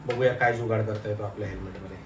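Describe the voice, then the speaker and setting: A man's voice: lowish voice, steady tone, very fast. Male vlogger, informal thinking-aloud delivery, roomy and noisy recording. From Maharashtra, India. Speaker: motovlogger. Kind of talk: casual hands-on unboxing and installation vlog. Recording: roomy, noisy, distant microphone.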